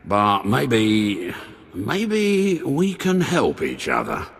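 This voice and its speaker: In long hall reverb, a grandiose yet anxious pretend Sith lord for a video game. The voice is very rough and animated, theatrical and prone to falter. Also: male voice, fairly low pitch, extremely slow, heavily acted. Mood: anxious, scheming. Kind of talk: video game cutscene acting.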